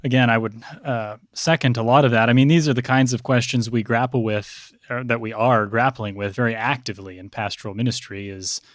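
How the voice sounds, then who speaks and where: A man's voice: medium pitch, steady tone, fairly quick, few pauses, dry recording. Reflective man with dry, close sound. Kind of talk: thoughtful conversational discussion.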